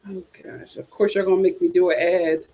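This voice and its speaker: A woman's voice: fairly low pitch, fairly even intonation, fairly quick, mellow. A woman hosting a casual reality TV reaction chat, talking back at the screen. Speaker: reality TV commentary host.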